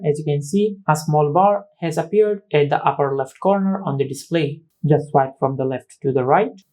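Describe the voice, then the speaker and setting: A man's voice: a little high, rough recording. A man giving a step-by-step tech tutorial as a host, in repetitive, instructional phrasing.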